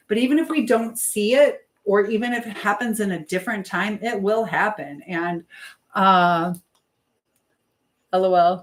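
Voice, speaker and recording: A middle-aged woman hosting a casual livestream, rambling and thinking aloud as she answers viewer comments. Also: medium pitch. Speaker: livestream host. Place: at home while preparing food. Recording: clearly noisy livestream with clicks.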